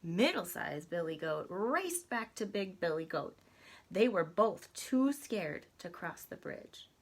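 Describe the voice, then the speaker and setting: Slow-paced woman reading a storybook. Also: on the low side, very animated. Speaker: storybook reader. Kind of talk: expressive children's story read-aloud.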